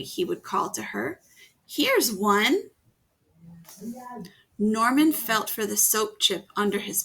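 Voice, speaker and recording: A woman reading a children's picture book aloud, gently pausing to ask her young listeners questions. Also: mid-range voice. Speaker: storytime reader.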